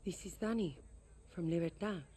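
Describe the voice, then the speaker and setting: An actress playing a calm, persuasive young female guerrilla reasoning with her father. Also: on the low side, moderate pace, lightly acted.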